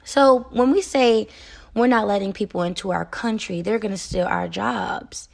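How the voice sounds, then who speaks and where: A woman's voice: mid-range voice, normal speed, creaky. A young American woman hosting a solo podcast, talking in an earnest, emphatic way with frequent "um" and "okay?". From United States. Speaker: podcast host.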